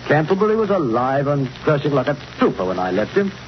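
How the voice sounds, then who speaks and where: A pompous, blustering politician in a vintage radio play, rather high in pitch and swinging widely as he sputters with indignation. The old recording is dull and slightly noisy. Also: big pitch swings, quick pace. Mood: flustered. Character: pompous, irritable, blustering male Member of Parliament. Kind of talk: 1940s radio drama acting.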